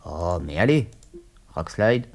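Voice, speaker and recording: A man's voice: low-pitched, very animated, takes it slowly, creaky. A man with a head cold, chatting casually through a gaming session at his desk mic, hesitant and full of fillers. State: stuffy, has a cold. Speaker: gaming YouTuber. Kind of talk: casual let's-play commentary.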